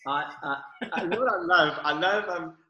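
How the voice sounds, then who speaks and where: An upbeat man with a South African accent tells his story as a podcast guest. His voice is bright and forceful and sits a little high. The recording has a roomy echo. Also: moderate pace, highs cut off. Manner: enthusiastic, upbeat, grateful. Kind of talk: casual interview.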